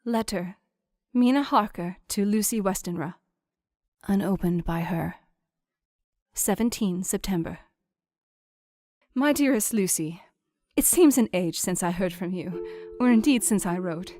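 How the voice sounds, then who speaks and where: A woman playing a chatty, affectionate young wife reading out her period letter in an audio drama, expressive and gently formal. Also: medium pitch, unhurried, pauses often, no echo, studio quality, close to an everyday voice. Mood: fond, contented. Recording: instrumental music under the voice.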